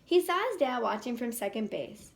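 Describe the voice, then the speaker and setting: A woman reading a children's picture book aloud in an expressive, animated way. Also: fairly high pitch, normal speed, vocal fry. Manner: animated, acts out dialogue. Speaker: children's read-aloud storyteller.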